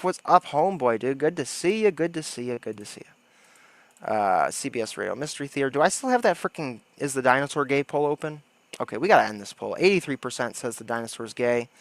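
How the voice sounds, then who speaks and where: Smooth-voiced man chatting casually on a livestream. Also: medium pitch, a bit bright, dry recording. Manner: slangy, joking. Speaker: livestream host.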